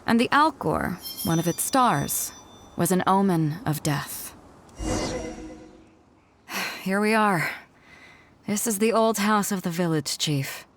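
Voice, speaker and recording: A woman's voice: mid-range voice, animated, slow pace, pauses often, slight background noise. A woman voicing a bold, proud female ship captain in a video game, confident and teasing, with a theatrical delivery. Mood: somber, reflective, then wistful. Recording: music under the voice. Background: background music.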